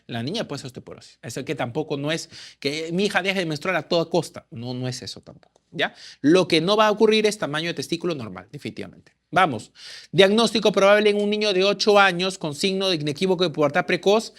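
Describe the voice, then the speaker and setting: Man, high voice, exam-prep tutor who quizzes, then answers. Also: animated, fast, fairly bright tone. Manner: quizzing, answers own questions. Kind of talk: lecturing through exam questions.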